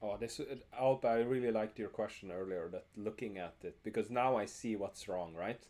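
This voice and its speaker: A man's voice: on the low side, normal speed, mellow. A young man hosting a live coding stream, thinking aloud with many ums, his phrases fading out. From Norway. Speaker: streamer host. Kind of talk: casual live-coding chat. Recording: faint music and keyboard typing behind.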